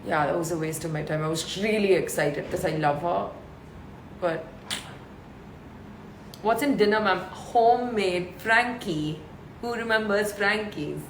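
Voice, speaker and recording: Woman, thirties, deep voice, casual live-stream chat, reads fan questions, echoey room. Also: a little slow, long pauses, a little hiss or hum. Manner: chatty, laughs often, reads out questions. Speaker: live-stream host answering fans.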